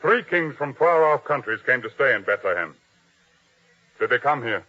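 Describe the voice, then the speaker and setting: A man's voice: mid-range voice, animated, moderate pace, bright, forceful tone. An actor playing a proud, domineering captain of a king's guard in old-time radio drama style, commanding and taunting. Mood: stern, interrogating. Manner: commanding, taunting, self-important. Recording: dull, lo-fi old radio sound.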